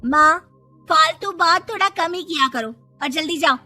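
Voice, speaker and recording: Woman voicing a high-pitched, spoiled young daughter. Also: normal speed, sharp tone, faint music behind, heavily acted. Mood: bossy. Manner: whiny, bossy, petulant. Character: spoiled, demanding young woman, a pampered daughter in her twenties.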